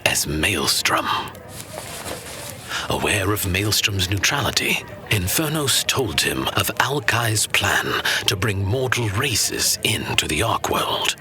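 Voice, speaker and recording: An actor playing a seasoned, patient master storyteller teaching his pupil in a fantasy audio drama, with measured, theatrical, breathy delivery. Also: fairly low pitch, very animated, music in the background. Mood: patient storytelling.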